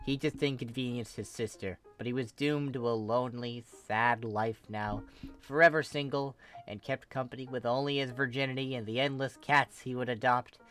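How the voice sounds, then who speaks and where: An amateur narrator reads a fan fiction story expressively. The voice sits at a middling pitch, with faint music playing behind it. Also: fairly even intonation, moderate pace, fairly bright tone.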